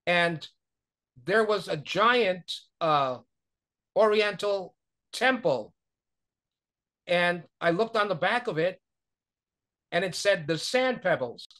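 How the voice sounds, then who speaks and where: A man's voice: high-pitched, lively intonation, slow pace, pauses often, fairly bright tone. An older man from New York, a guest talking loosely over a video call, with many fillers and restarts. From New York, USA. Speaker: guest, author and publicist. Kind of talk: conversational interview. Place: small room at home. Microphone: video call webcam.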